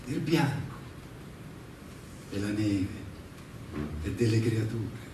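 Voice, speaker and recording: A man reciting patriotic verse on stage in a solemn, declamatory manner, with pauses between phrases. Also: fairly low pitch, background noise. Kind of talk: theatrical patriotic poetry recitation. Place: large echoing performance venue, live show. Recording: very echoey hall, distant mic, music.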